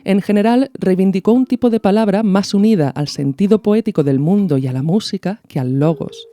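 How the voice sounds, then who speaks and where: A woman hosting a podcast with scripted, didactic narration in measured phrases. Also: mid-range voice, mellow. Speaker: podcast host.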